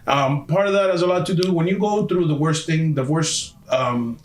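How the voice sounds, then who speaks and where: A man's voice: fairly high pitch, moderate pace, reverberant, rough recording. A man in his forties from Miami, a podcast guest telling his story bluntly and emphatically, repeating himself and swearing. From Miami, USA. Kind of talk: candid storytelling interview.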